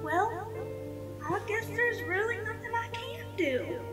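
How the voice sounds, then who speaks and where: Lady doing a sweet confused little country pony girl, super high voice, music behind. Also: animated, slow pace, full character voice. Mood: lost, uncertain. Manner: folksy, hesitant, questioning. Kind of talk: dramatic fan fiction reading.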